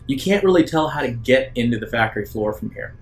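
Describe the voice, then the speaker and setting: A man narrating an improvised tabletop roleplaying game as game master, in a measured way with hesitant pauses. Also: mid-range voice, expressive. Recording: roomy echo, faint background noise.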